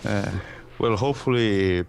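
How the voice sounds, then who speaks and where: A man with a low voice co-commentates a video game. His play-by-play is casual and wry. A little noise sits behind him. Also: a little slow, creaky.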